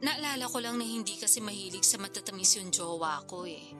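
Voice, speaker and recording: A woman's voice: medium pitch, a little fast, lightly acted. An actress playing a modest, chatty single mother in her thirties in a radio drama. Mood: wistful. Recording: clear music bed behind dialogue.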